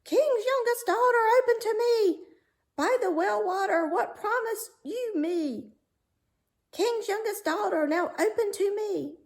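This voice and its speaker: Female reader as small male storybook frog: high, insistent, demanding, chanting. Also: measured pace. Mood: insistent, calling. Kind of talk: storybook read-aloud character voice.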